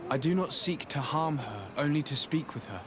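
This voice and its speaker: A man's voice: a little high, expressive, normal speed, crowd noise, natural voice. A man playing an earnest, courteous and resolute young nobleman envoy in a video game.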